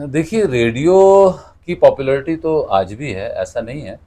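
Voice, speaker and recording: A man's voice: medium pitch, expressive, measured pace, mellow. A middle-aged man, answering interview questions conversationally and explaining through anecdotes. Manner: explanatory, tells anecdotes with quoted dialogue. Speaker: interview guest, cartoonist and radio announcer.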